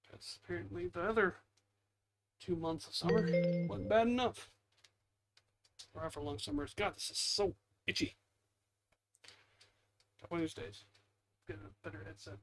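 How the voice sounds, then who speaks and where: A man's voice: high voice, normal speed, long pauses. A man giving casual, rambling, self-deprecating gameplay commentary as the host, muttering into a headset mic at home. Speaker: gaming video host.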